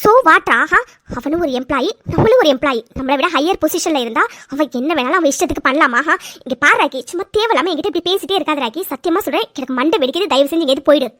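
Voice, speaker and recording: A woman's voice: high-pitched, expressive, fast talker, few pauses, fairly bright tone, no room sound. A woman acting a distraught, defiant young office worker in an emotional drama, pleading and repeating her words. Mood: exasperated.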